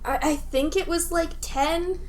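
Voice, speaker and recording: A woman's voice: extremely high pitch, unhurried. A woman co-hosting a podcast in casual, playful chat. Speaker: podcast co-host.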